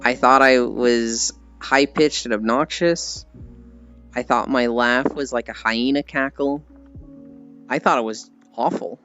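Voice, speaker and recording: A man's voice: mid-range voice, slow, a bit bright. An American man livestreaming in a casual, rambling, self-deprecating way, swearing casually as he talks to viewers. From United States. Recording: slightly dull audio with music behind. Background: background music.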